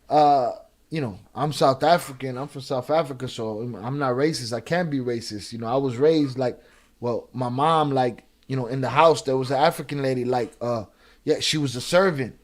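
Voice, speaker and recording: A man commenting live on a video, sarcastic and animated, with many restarts. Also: medium pitch, normal speed. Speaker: reaction streamer.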